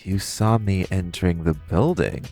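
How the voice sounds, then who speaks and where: A man's voice: low voice, a little slow, a little mellow, faint music behind. A man playing a flirty, cocky supervillain master thief in an audio roleplay, teasing and theatrical. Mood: curious, amused. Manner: teasing, theatrical, purring, chuckling. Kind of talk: audio roleplay acting, intimate monologue to listener.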